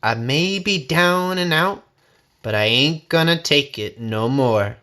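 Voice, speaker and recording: Man putting on a bold, high-pitched, hollering blues woman. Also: expressive, takes it slowly, heavily acted. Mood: defiant. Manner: loud, folksy Southern dialect hollering and belting. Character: big, bold, fearless woman blues singer.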